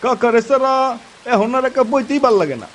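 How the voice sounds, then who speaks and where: A man's voice: very high voice, expressive, brisk, slight background noise, pitched above the performer's own voice. A man voicing an eager, chummy young villager in an audio story.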